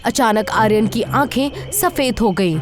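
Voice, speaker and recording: A woman's voice: on the high side, expressive, measured pace, music in the background. A woman narrator reading a scripted horror story.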